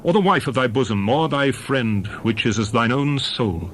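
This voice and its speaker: A man's voice: mid-range voice, lively intonation, normal speed, a bit bright. A man giving a dramatized scripted reading of scripture as narrator, in a solemn, declamatory and emphatic manner.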